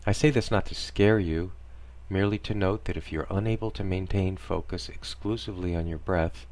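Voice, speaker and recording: A man narrating a scripted parody guided meditation in a deadpan, soothing instructional manner. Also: low-pitched, moderate pace, dry recording.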